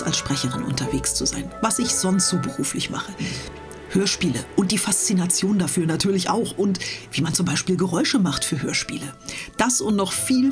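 A woman who works as a speaker, chatting casually and enthusiastically with trained, clear articulation. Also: lowish voice. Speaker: host of an audio channel and interview guest, professional speaker. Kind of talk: casual interview chat.

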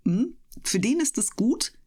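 A woman's voice: a little high, very animated, unhurried, dark tone, husky. A woman chatting casually and explaining things as a guest in a radio interview.

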